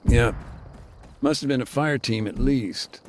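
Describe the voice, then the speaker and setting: An actor playing a weary male aid worker who recounts events in a measured, laconic way during an interview in a video game. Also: mid-range voice, a little hiss or hum, close to an everyday voice. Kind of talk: game cutscene dialogue.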